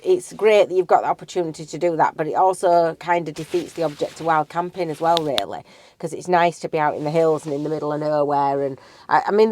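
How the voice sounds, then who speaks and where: A woman's voice: deep voice, darkish tone. A young woman chatting casually in a travel vlog, with a cold, rambling with lots of ums. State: has a cold. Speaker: vlogger.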